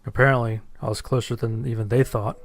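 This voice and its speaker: A man narrating a first-person horror story from a script in a measured, matter-of-fact way. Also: mid-range voice, fairly even intonation, no room sound.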